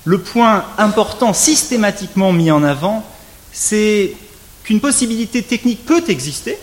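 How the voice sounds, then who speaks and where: Guy lecturing at a law conference, kinda high voice, measured and formal with dry little jokes. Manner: measured, wry asides, lively rising emphasis. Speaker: legal scholar giving a conference talk.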